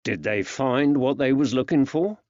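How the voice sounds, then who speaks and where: A man voicing a kindly character who gently coaxes answers from a child, with old-fashioned working-class phrasing. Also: medium pitch, expressive, moderate pace, dry recording, muffled recording, pitched above the performer's own voice, close to an everyday voice. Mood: probing, encouraging.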